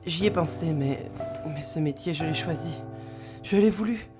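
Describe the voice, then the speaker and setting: A woman playing a dedicated investigator in a French audio drama, earnest and measured, slightly hesitant. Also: low voice, over loud music, close to an everyday voice. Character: earnest, dedicated female investigator with special powers.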